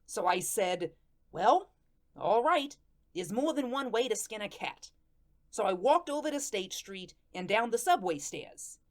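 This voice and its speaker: A woman's voice: medium pitch, big pitch swings, a little slow. A woman playing a chatty, aggrieved ghost of a murder victim, telling the story in slangy, conversational radio drama style. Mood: aggrieved, bemused. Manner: colloquial, ungrammatical, conversational storytelling. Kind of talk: first-person radio drama narration with acted dialogue.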